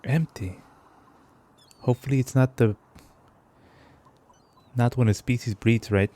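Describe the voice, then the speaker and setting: A man's voice: medium pitch, unhurried, dark tone. A man giving casual playthrough commentary on a game, reacting in an emotionally invested, murmured way. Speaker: gamer reacting to a visual novel.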